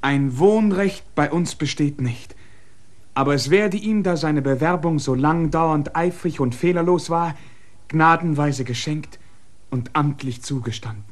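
A man's voice: on the high side, measured pace, natural voice. A man playing a grave, formal messenger. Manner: formal, reads aloud gravely. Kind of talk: radio drama acting.

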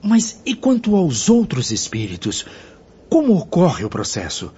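Earnest spirit pupil, formal, questioning, very hoarse-sounding, radio drama, muffled lo-fi audio. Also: male voice, a little high, wildly swooping pitch, unhurried, dark tone, heavily acted. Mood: earnest, curious. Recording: dull lo-fi audio.

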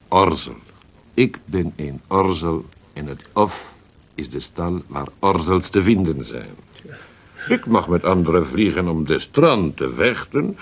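A worldly Italian poet fallen from favour, in a radio play. His very deep voice is gravelly and swings widely in pitch. He speaks with an Italian accent, theatrical and halting, dropping in Italian words as he schemes. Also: full character voice. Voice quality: gravelly, husky. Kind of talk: radio drama acting.

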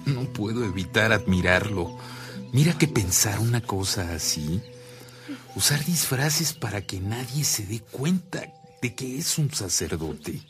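An actor with a Mexican accent playing an earnest, reflective priest in a radio drama, speaking in a measured, solemn way. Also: male voice, on the low side, lively intonation, fairly bright tone, soft background music. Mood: troubled, admiring. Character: earnest, reflective Mexican priest, calming his worried sister. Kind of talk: Spanish-language radio drama acting.

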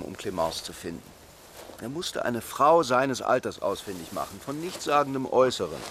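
An actor as an intent, animated male detective, noisy background. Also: mid-range voice, natural voice. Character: brooding, intuitive, quietly certain male police detective. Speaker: actor in a crime radio play. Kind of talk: naturalistic radio drama dialogue.

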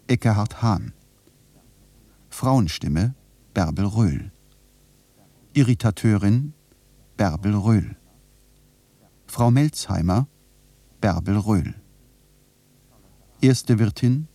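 Slow, sober male radio announcer. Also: fairly low pitch, dark tone, no room sound. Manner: measured, sober. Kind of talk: formal scripted credits announcement.

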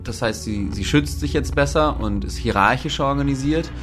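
A man's voice: mid-range voice, moderate pace. A man giving explanatory commentary in a documentary interview. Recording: music under the voice.

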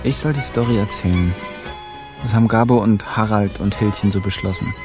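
An actor playing a laid-back nineteen-year-old care-home helper who narrates a crime radio play in an offhand, unsure, wry way. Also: male voice, fairly low pitch, expressive, brisk, lightly acted. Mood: hesitant, offhand. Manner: casual, offhand, hesitant. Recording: music behind the voice.

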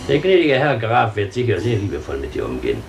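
Brief: sneering count's henchman, swooping gravelly voice, mock-tender and bossy, old noisy radio drama recording. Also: fairly low pitch, wildly swooping pitch, fast talker, recorded from a distance, exaggerated voice. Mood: mockingly soothing. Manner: mocking, commanding. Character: sneering, falsely soothing henchman of a Russian count. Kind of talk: 1950s German radio play acting.

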